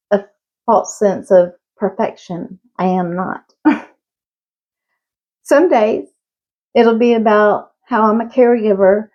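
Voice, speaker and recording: A woman's voice: medium pitch, dull audio. A woman in her fifties with a Southern US accent, chatting frankly to camera and laughing between thoughts. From Southern United States. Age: 50s. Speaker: vlogger. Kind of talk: casual talk to camera.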